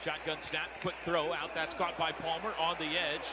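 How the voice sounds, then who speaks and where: American guy doing radio football play by play, high voice, clipped phrases, super noisy stadium behind him. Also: normal speed. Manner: clipped phrases, excitable on big plays. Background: loud stadium ambience.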